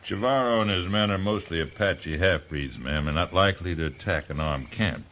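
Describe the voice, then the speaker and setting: An American actor playing a plainspoken, gruff frontier marshal in an old-time radio western, with a folksy, blunt drawl. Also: male voice, deep voice, normal speed, fairly bright tone. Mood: wry, plainspoken. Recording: muffled, faintly noisy old radio recording.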